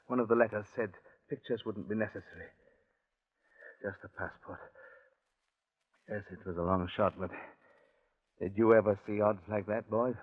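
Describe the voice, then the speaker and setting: A man narrating a 1940s radio suspense drama in a measured, confiding storyteller's manner. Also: lowish voice, husky, dry recording. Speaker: narrator and lead actor. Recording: dull old radio broadcast with music.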